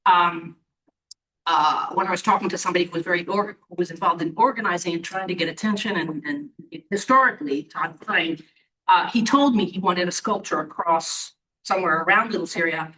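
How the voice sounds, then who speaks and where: A woman's voice: on the low side, brisk, sharp tone. A woman in the audience making a long, rambling comment with many restarts. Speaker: audience member. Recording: roomy hall, distant mic.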